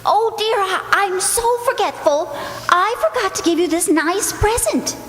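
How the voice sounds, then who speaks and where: Woman doing a dithery forgetful english lady in a radio play, breathless and gushy, really animated, boomy echo. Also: measured pace, slight background noise, exaggerated voice. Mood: flustered. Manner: breathless, dithering, repeats herself. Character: flustered, forgetful, gushing English sister-in-law. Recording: echoey, reverberant hall.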